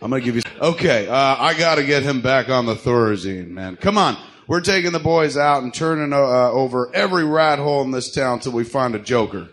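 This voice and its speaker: A man playing an exasperated, sarcastic henchman who placates his boss, casual and halting, in an amateur audio drama. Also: medium pitch, animated, fairly bright tone, natural voice. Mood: weary, businesslike. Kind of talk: amateur radio drama acting.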